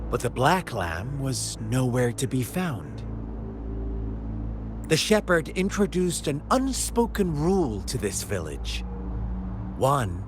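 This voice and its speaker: A man playing a sly, unhinged game villain who tells a dark tale in a theatrical, taunting, drawn-out way. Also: fairly high pitch. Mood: mocking, ominous. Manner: theatrical, taunting, drawn-out delivery with sudden swoops. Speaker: villain character in a video game. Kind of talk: dramatic storytelling monologue in a game.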